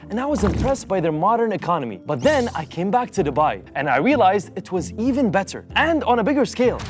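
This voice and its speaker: Thirties male host, animated persuasive narration, music underneath. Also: high-pitched. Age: 30s. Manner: upbeat, persuasive storytelling. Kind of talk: scripted narration.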